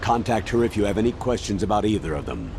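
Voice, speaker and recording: An actor playing an older colonel in a video game, briefing with measured, commanding authority. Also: male voice, fairly low pitch, big pitch swings, creaky, noisy background. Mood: matter-of-fact. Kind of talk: dramatic video game voice acting.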